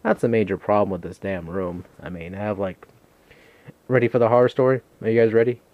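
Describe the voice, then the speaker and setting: A man's voice: on the low side, steady tone, brisk, phone-quality sound. A man doing a casual, unscripted show-and-tell vlog in a rambling, hesitant, self-deprecating way. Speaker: video game collector, vlogger. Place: a room at home.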